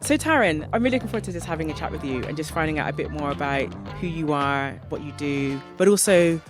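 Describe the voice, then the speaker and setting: A podcast host from Bristol with a Bristol accent, chatting casually and telling anecdotes in an animated way. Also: mid-range voice, quick pace, over music. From Bristol, England. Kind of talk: casual conversational interview.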